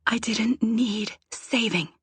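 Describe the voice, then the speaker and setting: A woman's voice: on the high side, fast, no echo, voice pushed up, lightly acted. A woman voicing a fierce, prickly young heroine in an audiobook, with terse, muttered retorts. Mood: defiant. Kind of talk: audiobook character voice.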